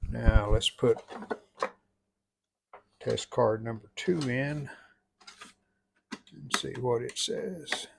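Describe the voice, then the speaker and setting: A man's voice: medium pitch, extremely slow, gravelly, long pauses, background noise. A middle-aged man giving unscripted how-to commentary at a workbench in a plain, folksy, matter-of-fact way. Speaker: hobbyist repair vlogger. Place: indoor home workshop at a workbench.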